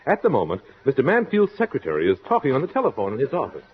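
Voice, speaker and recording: A man's voice: medium pitch, huge pitch swings, very fast, husky. A man announcing scripted narration in the style of 1940s radio. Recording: dull old radio recording.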